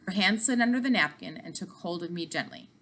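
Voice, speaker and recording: A woman narrating a children's book aloud with animated, dramatic emphasis. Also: lowish voice, a little fast. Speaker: read-aloud storyteller.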